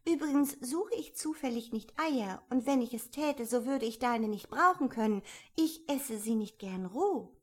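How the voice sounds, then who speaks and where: A woman's voice: on the high side, animated, measured pace, voice pushed up. A woman voicing an earnest, truthful little girl who is bewildered and indignant. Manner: indignant, slightly unsure.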